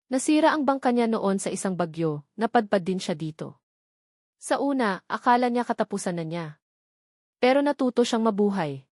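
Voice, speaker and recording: A woman reads a dramatic audiobook story with expressive delivery. Her mid-pitched voice sits in a very dry recording with little room sound. The narration is scripted and clearly shaped as storytelling. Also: moderate pace, no room sound.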